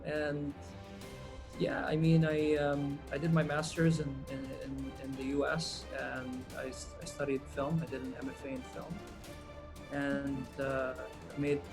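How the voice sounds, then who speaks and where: A man's voice: on the high side, unhurried, over music. Laid-back man, filmmaker guest. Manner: relaxed, rambling, many ums and uhs. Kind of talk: casual interview answers.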